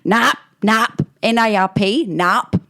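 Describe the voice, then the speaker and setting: A woman's voice: mid-range voice, slow, a bit bright. A woman with an Australian accent co-hosting a podcast, reading out listener stories in casual comic chat and mockingly acting out the quotes. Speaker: podcast co-host.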